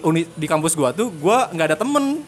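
A young man from Purwakarta, Indonesia, with a notably high voice for a male. He talks as a podcast host in loose, slangy banter. His storytelling is animated and often breaks into giggles. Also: fairly quick. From Purwakarta, West Java, Indonesia. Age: young adult. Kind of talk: casual joking chat.

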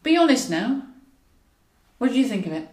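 Earnest male amateur performer played by a woman, tentative and chatty, animated pitch, distant mic. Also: normal speed. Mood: eager, hopeful. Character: earnest, hopeful male prison governor, amateur drag singer. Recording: roomy echo, distant from mic.

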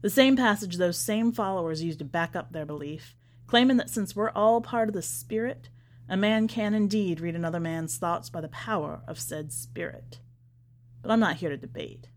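A woman's voice: fairly low pitch, moderate pace. A woman reading a short story aloud as a podcast narrator, with dramatic scripted delivery.

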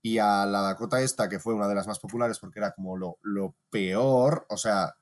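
A man hosting a casual podcast chat and running a quiz, relaxed and laughing often. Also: lowish voice, moderate pace. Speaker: podcast host.

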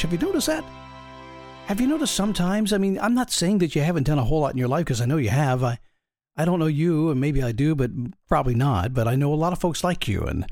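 Raspy, animated male motivational host. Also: fairly high pitch. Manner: chatty, keeps asking the listener questions. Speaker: motivational podcast host and coach. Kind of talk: motivational monologue.

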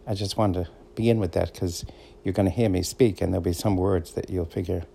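Gravelly middle-aged Boston man. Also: low voice, steady tone, brisk, dark tone. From Boston area, USA. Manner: meandering, conversational, easygoing storyteller. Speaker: podcast host. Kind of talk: casual solo podcast monologue.

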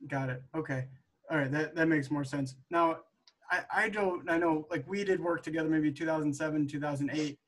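A male podcast host asks questions in a relaxed, casual way. He often repeats words as he goes. His voice sits slightly high for a man. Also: a little fast. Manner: friendly, stammers and repeats words. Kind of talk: casual remote interview.

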